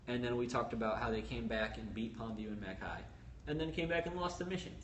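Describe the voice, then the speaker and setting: A man co-hosting a casual sports podcast, explaining stats and game outlooks in a brisk, analytical way. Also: medium pitch, fairly bright tone. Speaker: sports reporter co-host. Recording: roomy echo with faint ambient noise.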